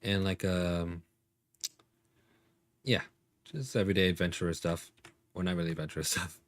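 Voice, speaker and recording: A man with a fairly low voice narrates a tabletop game as its game master. His delivery is casual and a little hesitant, made up as he goes, and the sound is dry with little room on it. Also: moderate pace, no echo.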